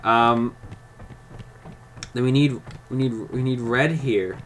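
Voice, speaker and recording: A man's voice: medium pitch, fairly even intonation, takes it slowly, a little hiss or hum, rough recording. A man giving let's-play commentary, chatting to himself while he works out puzzles and snapping at the game in mock frustration. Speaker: gaming YouTuber.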